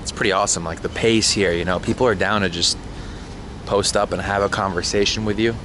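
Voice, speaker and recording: A laid-back man talks into his camera on a solo road trip, his voice sitting low. He drops in casual slang and the odd curse. Road and street noise comes through clearly behind him. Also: fairly even intonation, fairly quick. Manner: laid-back, swears casually, says "bro" and "dude". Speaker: travel vlogger. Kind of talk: casual travel vlog with chat.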